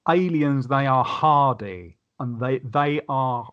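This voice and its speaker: A man's voice: medium pitch, slow, clear, resonant tone, muffled recording. A man chatting casually in a podcast discussion.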